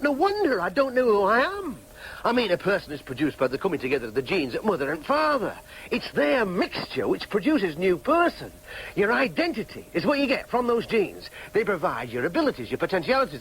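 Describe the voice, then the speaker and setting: An actor with a Yorkshire accent playing an agitated, obsessive adopted son in a radio drama, insistent and declamatory. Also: male voice, sits high, very animated, fairly quick, fairly bright tone, heavily acted, poor audio. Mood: exalted, brooding. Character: agitated, obsessive adopted son hungry for his true identity. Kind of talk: broadcast radio drama acting.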